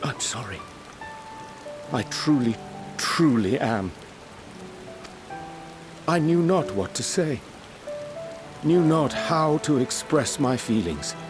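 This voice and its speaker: An actor playing a guilt-ridden young scholar in a fantasy game, solemn and halting, with formal archaic diction. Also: male voice, mid-range voice, animated. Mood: anguished, halting. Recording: rain and music behind. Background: rain, music.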